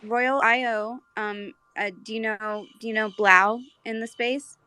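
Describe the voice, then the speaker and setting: A woman's voice: on the high side, steady tone, measured pace, bright, forceful tone, clear, resonant tone, no room sound. A woman talking casually and reflectively in an online group discussion, earnestly thinking aloud and hedging as she goes. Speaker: speaker in an online audio community discussion.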